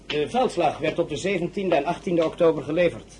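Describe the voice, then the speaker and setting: An actor playing a sober, loyal French colonel and prisoner of war, formal and deferential, in an audio drama. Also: male voice, a little high, brisk, a little mellow, slight background noise, close to an everyday voice. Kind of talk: Dutch audio drama acting.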